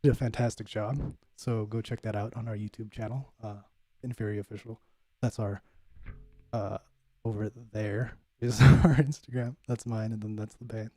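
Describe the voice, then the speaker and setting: A relaxed man chats with his viewers between songs on a livestream. His lowish, mellow voice is easygoing and full of fillers, and the close, dry mic picks up no room at all. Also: normal speed, no room sound. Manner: laid-back, filler-heavy, says "bud". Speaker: livestream host, bassist. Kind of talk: casual chat reading viewer comments.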